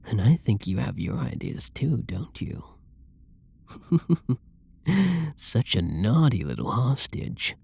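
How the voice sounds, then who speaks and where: Performer playing a husky, breathy, flirty villain. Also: mid-range voice, a little mellow, heavily acted. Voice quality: breathy, husky, often dropping into whispers. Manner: teasing, drawling, pet-name-laden purr. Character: flirty, dominant, possessive yandere villain teasing a hostage. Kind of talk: intimate roleplay ASMR acting.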